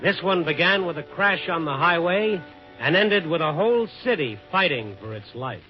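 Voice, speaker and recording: A man's voice: a little high, expressive, normal speed, lightly acted. An American man acting a wry, hard-boiled night reporter in a 1950s radio drama. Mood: wry narration. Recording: dull old radio recording, music behind.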